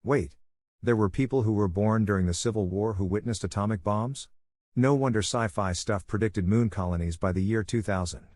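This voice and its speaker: Low-voiced man reading posts, dry. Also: darkish tone, dry recording. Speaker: narrator reading online posts. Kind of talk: scripted narration of reader posts.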